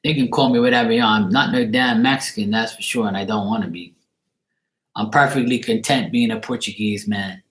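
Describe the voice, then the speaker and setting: A man's voice: lowish voice, a bit bright. Echoey man chatting casually. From Bermuda. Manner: informal, blunt, joking. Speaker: livestream tarot reader. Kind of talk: casual livestream chat with viewers. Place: living room, indoors. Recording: echoey room with faint noise, clicks.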